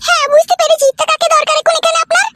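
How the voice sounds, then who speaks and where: A woman's voice: very high voice, little pitch movement, rapid-fire, heavy background noise. A woman voicing a naive, plaintive young village wife in a comic cartoon. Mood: puzzled, candid.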